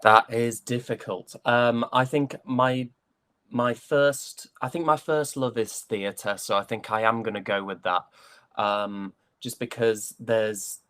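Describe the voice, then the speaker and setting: A young man with a Northern English accent chatting casually as an interview guest, often pausing to find his words. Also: lowish voice, fairly bright tone. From West Yorkshire, England.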